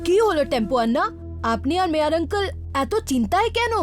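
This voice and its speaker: A woman's voice: high-pitched, very animated, quick pace, over music. A woman voicing a curious, decisive cartoon child hero who questions and gives orders. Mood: concerned, curious. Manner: inquisitive, decisive. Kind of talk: animated cartoon dubbing.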